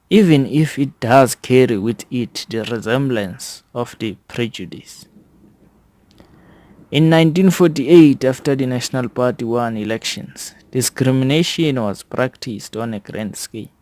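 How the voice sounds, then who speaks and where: A man reading an academic article aloud in a formal manner, halting now and then over words. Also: medium pitch, darkish tone, no echo. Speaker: reader of an article.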